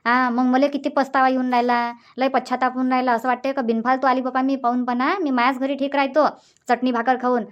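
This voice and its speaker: A woman's voice: high-pitched, flat delivery, quick pace, clean tone, lo-fi sound, close to an everyday voice. A woman playing a fretful, regretful village sister in a cartoon comedy, plaintive and repetitive, with a Varhadi Marathi accent. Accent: Varhadi Marathi of Vidarbha. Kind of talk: dialect cartoon comedy dialogue.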